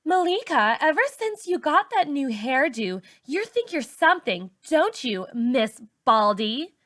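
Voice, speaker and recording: A woman's voice: high-pitched, sing-song, a little slow, a bit bright, dry recording, clean studio sound, pitched above the performer's own voice, exaggerated voice. A woman voicing a catty teenage girl who teases and sneers at a classmate. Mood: snide. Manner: snide, teasing.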